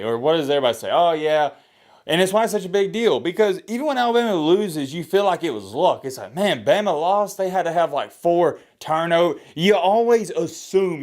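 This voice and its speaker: A young man from the talks college football straight to his viewers in a loose, rambling way. His pitch swings widely, climbing sharply when he makes a point and dropping low and heavy with a sigh when he gets resigned. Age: 20s. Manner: animated, rising pitch when emphatic, sighing. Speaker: sports commentator and fan vlogger. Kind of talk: rambling casual commentary to camera.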